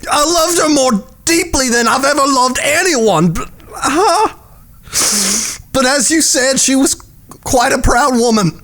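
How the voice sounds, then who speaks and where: A man playing a desperate, lovesick, cowardly villain in a Western audio drama, pleading in a halting, whimpering stammer. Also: extremely high pitch, expressive, a bit bright, exaggerated voice. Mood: pleading, grieving.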